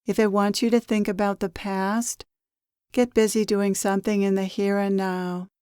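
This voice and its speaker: A woman gives a guided meditation with a smooth, clear voice. She speaks very slowly, pausing between phrases as she gently instructs the listener. The sound is dry, with no room echo, and her delivery is soothing and measured. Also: mid-range voice. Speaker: meditation guide, spiritual channeler. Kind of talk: guided meditation, channeled spiritual teaching.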